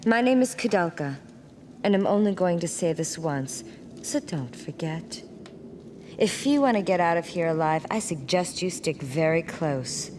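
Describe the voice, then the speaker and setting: A woman's voice: on the low side, expressive, unhurried, a little mellow, a little hiss or hum. An actress playing a blunt young woman medium in a game cutscene, curt and commanding. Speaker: game character.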